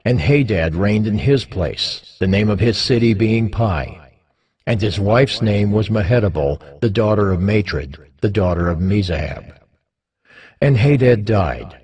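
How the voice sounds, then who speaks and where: A man reading scripture as scripted, dramatized narration, in a solemn, measured manner with deliberate pauses. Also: on the low side, a little mellow. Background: background music.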